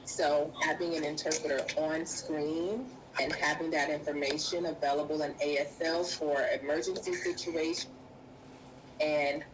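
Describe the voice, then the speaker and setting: Low-voiced woman interpreter, noisy recording. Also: flat delivery. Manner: measured, halting phrasing while interpreting. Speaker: sign language interpreter voicing a deaf guest. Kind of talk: live spoken interpretation in an interview.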